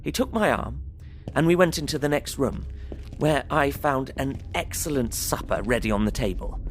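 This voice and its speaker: An actor playing an earnest, polite young English solicitor, narrating his journal in measured, bookish Victorian phrasing for an audio drama. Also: medium pitch, a little hiss or hum, close to an everyday voice. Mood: measured, matter-of-fact.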